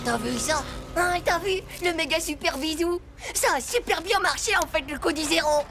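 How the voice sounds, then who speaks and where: A woman playing a brash, scheming, cocky schoolboy with a gravelly voice in a French children's cartoon. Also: extremely high pitch, moderate pace, music in the background. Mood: pleased, wheedling. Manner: cocky, slangy playground talk. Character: brash scheming schoolboy dreaming of kissing girls.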